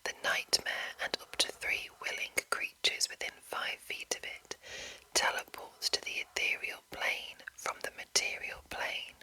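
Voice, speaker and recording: A woman speaking in an unvoiced whisper, hushed and deliberate, as an ASMR host reading aloud from a game book. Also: low-quality recording. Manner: hushed, slow, deliberate enunciation.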